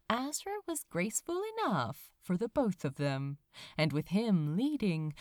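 A woman narrating a scripted story expressively, in a tender, unhurried storytelling manner with deliberate pauses. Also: mid-range voice, no echo, clean studio sound. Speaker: audiobook-style fan fiction reader.

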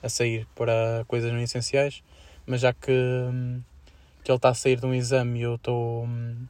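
A young man with a European Portuguese accent chatting informally as a podcast co-host, with slangy, halting delivery. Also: medium pitch, completely flat delivery, darkish tone, dry recording. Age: young adult. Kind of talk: casual student podcast chat.

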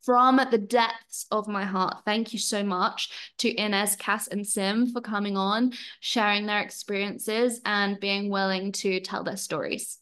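A woman's voice: medium pitch, steady tone, unhurried, few pauses, fairly bright tone, clean tone. A woman hosting a podcast about her retreat, chatty, effusive and grateful as she welcomes her guests. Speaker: podcast host and retreat leader.

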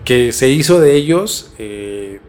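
A man's voice: mid-range voice, lively intonation, slow, a little mellow. A man hosting a podcast, telling a story conversationally to a co-host and repeating phrases for emphasis. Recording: music bed under the voice.

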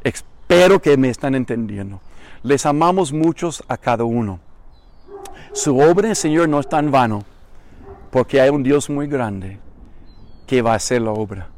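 Older Chilean pastor preaching emphatically. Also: male voice, mid-range voice, expressive, moderate pace, long pauses, darkish tone, a little hiss or hum. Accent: Chilean Spanish mixed with Mexican. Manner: emphatic preaching with rhetorical repetition. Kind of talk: recorded sermon greeting to a congregation. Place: outdoors on a vacant lot.

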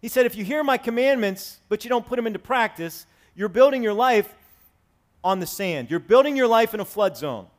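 A man in his forties preaching a sermon to his congregation, swinging between raised, emphatic lines and quiet, conversational asides. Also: sits high, lively intonation, a little fast. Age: 40s. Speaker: pastor. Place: church sanctuary with congregation.

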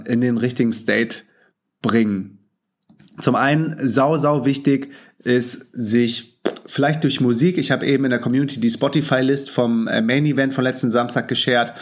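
A man with a cold talks through his podcast in a loose, excited way. His voice sits at an ordinary middle pitch. He uses plenty of slang and filler sounds. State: has a cold. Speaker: podcast host. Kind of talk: casual podcast monologue, reading out listener comments.

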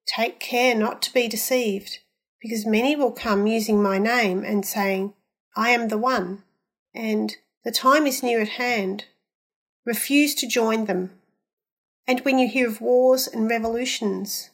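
A woman reading scripture aloud in a measured, deliberate, solemn way. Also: a little high, breathy edge. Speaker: scripture reader.

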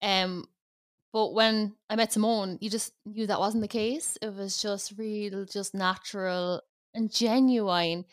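A woman's voice: medium pitch, fairly even intonation, slow. Easygoing Irish woman. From Ireland. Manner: easygoing, self-deprecating. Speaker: podcast guest, makeup artist. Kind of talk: casual chat.

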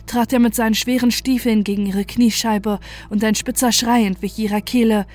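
Female horror narrator, mellow and dark, grave measured storytelling, scripted, light music bed. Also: on the high side, steady tone.

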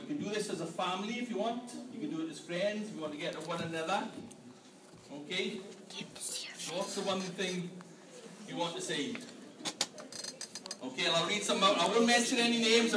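A man's voice: high-pitched, fairly quick, recorded from a distance. A man leading an interactive family sermon in a church hall, playfully quizzing the congregation. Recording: echoey hall, mic from afar, room noise.

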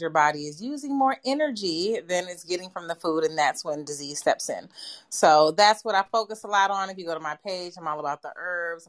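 A woman from California, a private chef, introducing herself on a panel in a casual, chatty way. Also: fairly low pitch. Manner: casual, with restarts and chatty fillers.

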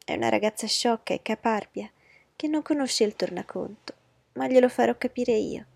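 A woman voicing an agitated middle-aged mother who talks high and fast in alarm. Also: creaky, darkish tone, no echo. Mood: insistent. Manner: high-pitched, agitated, pleading.

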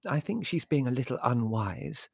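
A man voicing a weary, sensible middle-aged mother with a hesitant, gently exasperated manner. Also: mid-range voice, lively intonation, moderate pace, vocal fry, husky, no room sound, studio quality, voice pushed up. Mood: long-suffering.

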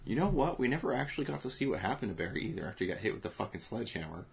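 A man's voice: fairly low pitch, big pitch swings, rapid-fire, vocal fry, slight background noise. A man co-hosting a casual movie review podcast, swearing often and laughing as he talks. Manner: profane, laughing, reads reviews aloud. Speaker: podcast co-host.

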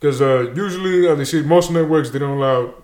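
Fast-talking young man, echoey room. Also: a little high. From Dominican and Peruvian heritage, New Jersey. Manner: energetic, slangy, mixes Spanish words. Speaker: guest, show creator. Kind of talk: casual interview chat.

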